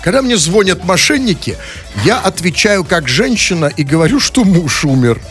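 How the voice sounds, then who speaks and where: A man's voice: high-pitched, very animated, moderate pace, a bit bright, faint music behind. A man co-hosting a comic radio show, reading out listener messages and teasing about voices. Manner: playful, reads listener messages aloud, mimics voices.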